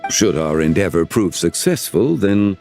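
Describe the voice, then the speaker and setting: A very deep-voiced immortal man of ancient bearing. He is composed, courteous and solemn, choosing formal, archaic words. His measured lines move with a lively melody over background music. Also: vocal fry, heavily acted. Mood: grave, measured. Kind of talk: scripted video game voice acting.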